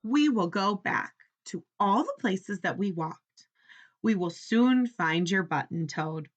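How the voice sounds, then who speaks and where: A woman's voice: medium pitch, animated, takes it slowly. A woman reading a storybook as a helpful, upbeat male frog, eager and encouraging to a friend. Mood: reassuring.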